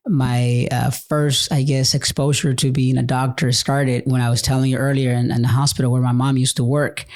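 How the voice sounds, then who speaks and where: A man's voice: mid-range voice, level tone, moderate pace. Chatty middle-aged man, laughing. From El Salvador. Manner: rambling, many fillers, laughs often. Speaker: chiropractor guest. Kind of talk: casual conversation.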